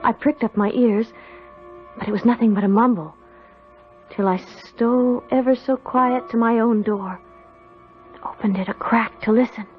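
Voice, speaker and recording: A woman's voice: fairly high pitch, steady tone, normal speed, long pauses, natural voice. An actress playing an earnest young servant girl who tells her tale in a radio drama. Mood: reflective, uneasy. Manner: earnest, storytelling. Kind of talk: 1970s radio drama narration and dialogue. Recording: dull old radio recording. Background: prominent music.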